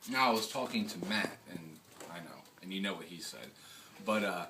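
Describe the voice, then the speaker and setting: A man's voice: low voice, expressive, moderate pace. Man hosting a vlog, distant mic. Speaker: host. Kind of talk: casual vlog commentary and chat. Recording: distant mic, faint background noise.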